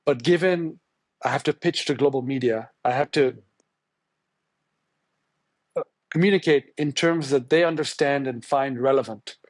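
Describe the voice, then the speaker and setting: Male journalist guest, Tamil first language, from India; musing, halting delivery, dry studio sound. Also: medium pitch, no room sound. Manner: thoughtful, searching, many restarts and fillers. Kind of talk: podcast interview answer.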